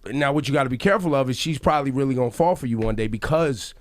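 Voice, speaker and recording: A man hosting a radio interview, teasing his guests in loose, drawn-out banter. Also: mid-range voice, no room sound. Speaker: radio host.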